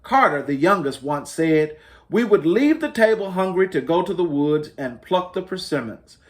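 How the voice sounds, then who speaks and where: A man's voice: on the high side, lively intonation, normal speed. A man hosting a story time, reading a picture book aloud to families in a dramatic, emphatic way. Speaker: children's storybook reader, host.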